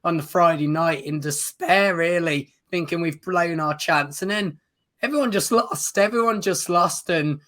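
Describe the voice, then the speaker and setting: A man who has lost his voice, chatting casually as a football show co-host in an agreeable, measured way. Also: a little high, fairly bright tone. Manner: measured, agreeable, uses fillers like "um" and "you know". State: losing his voice.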